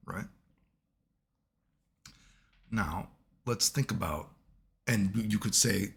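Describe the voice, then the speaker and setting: A man, hoarse from being sick, giving casual analytical commentary as a video host and checking in with "right?" at nearly every turn. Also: low-pitched. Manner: keeps asking "right?" as he lectures, swears casually.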